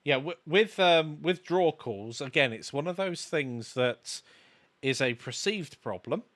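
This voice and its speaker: A man co-hosting a casual live stream, explaining things in a halting way. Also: fairly high pitch, animated, fairly bright tone. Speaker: livestream co-host, game development course maker.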